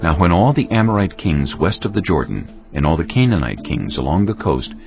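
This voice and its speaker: A man's voice: sits low, sing-song, brisk, gravelly. A man giving scripted narration for a dramatized audio Bible. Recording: dull audio, music underneath.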